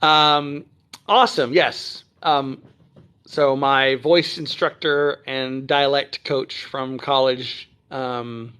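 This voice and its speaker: A man from Texas who speaks standard American, hosting a casual phone livestream from home and chatting through viewer comments with many ums and throat clears. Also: medium pitch, fairly even intonation, slow, sharp tone. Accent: none, trained standard American. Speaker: livestream host. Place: at home near Dallas.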